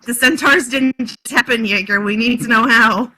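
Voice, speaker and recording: A woman's voice: a little high, normal speed, pushed and bright, low-quality recording. A woman co-hosting in casual comedic banter, speaking in an insistent way. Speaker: co-host.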